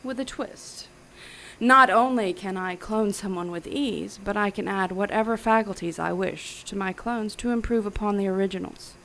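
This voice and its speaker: Casting: actress as power-hungry woman scientist villain for audio thriller; heavy vocal fry, smug menace, theatrical precision. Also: medium pitch, normal speed, a bit bright, slight background noise, natural voice, low-quality recording. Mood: smug, boastful. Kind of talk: audio drama acting, detective thriller.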